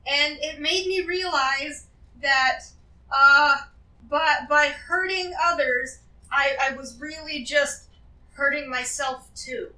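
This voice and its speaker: Woman playing high, stammering, sheepish villainess. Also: takes it slowly, bright, forceful tone, exaggerated voice. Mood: sheepish, pleading. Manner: stammering, evasive, pleading. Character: sheepish, nervous reformed villainess talking her way out. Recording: echoey, noisy, distant group mic.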